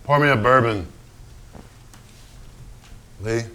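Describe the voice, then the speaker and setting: A man's voice: medium pitch, slow, bright, forceful tone, smooth. Blunt, brooding hard-drinking painter played by a man. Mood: demanding. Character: Jackson, hard-drinking, menacing, self-pitying male painter. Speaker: stage actor. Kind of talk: theatrical staged reading, narration mixed with dialogue.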